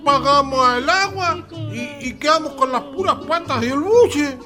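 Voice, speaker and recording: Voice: high-pitched, a little slow, over music. An actor voicing an elderly Chilean husband in a radio drama, a grumbling pensioner who stammers and repeats words indignantly. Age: older.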